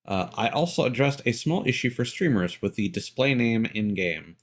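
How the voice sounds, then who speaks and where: A man chatting casually on a live game stream, thinking aloud in a halting way. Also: mid-range voice, lively intonation. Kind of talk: casual live-stream chat while gaming.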